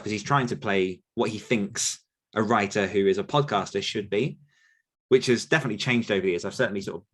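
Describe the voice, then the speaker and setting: A man's voice: fairly low pitch, a bit bright. A man in his thirties talking casually about his writing career, rambling a little with restarts and the odd laugh. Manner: rambling with frequent restarts and self-deprecating laughs. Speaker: podcast host and author answering listener questions. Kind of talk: casual conversational chat.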